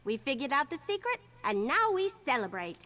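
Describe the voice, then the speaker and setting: An actress playing an eager, earnest young adventurer in a video game, with a bubbly, youthful delivery. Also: female voice, high-pitched, very animated, fairly quick, pushed and bright, heavily acted. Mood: cheerful, reflective. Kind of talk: video game character dialogue.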